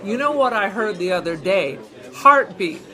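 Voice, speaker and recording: A woman's voice: medium pitch, expressive, moderate pace, sharp tone. A woman playing an opinionated, stubborn small-time crook in an audio drama, speaking bluntly. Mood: chatty. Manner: argumentative, blunt. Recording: busy crowd chatter behind.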